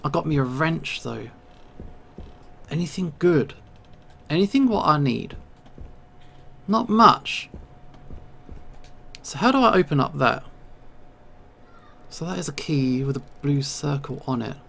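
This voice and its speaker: Guy playing a video game and chatting thru it, gravelly fry, thinks out loud in short bits. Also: a little high, lively intonation. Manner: thinks aloud in short, halting remarks. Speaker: video game player and host. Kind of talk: casual let's play commentary.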